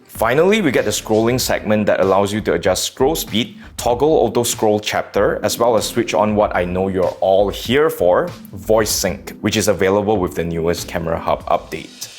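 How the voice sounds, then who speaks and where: A man's voice: fairly low pitch, normal speed, hardly any pauses, darkish tone, soft background music. A man with a Malaysian accent reviewing gear from a script, dropping wry joking asides. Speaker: tech reviewer.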